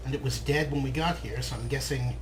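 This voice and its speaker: A man's voice: mid-range voice, fairly even intonation, a little fast. A man giving casual, wryly musing commentary as he plays a video game. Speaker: let's play host. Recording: clear background game noise. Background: video game sound effects.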